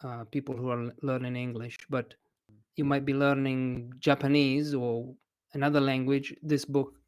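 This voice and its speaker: A man from Italy with an Italian accent, an English teacher chatting as a podcast guest in a hesitant, expressive way. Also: mid-range voice, moderate pace, smooth, muffled recording. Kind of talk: casual interview chat.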